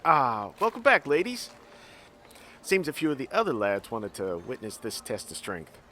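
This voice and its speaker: Animated, folksy actor as a frontier mine boss. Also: male voice, medium pitch, normal speed, a little hiss or hum. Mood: jovial. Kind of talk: audio drama acting.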